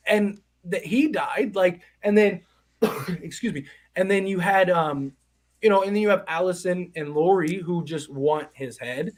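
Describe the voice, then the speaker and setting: A man around thirty co-hosting a live horror movie stream, talking in long, animated, rambling stretches full of restarts. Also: high voice, a little slow. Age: 30s. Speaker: co-host.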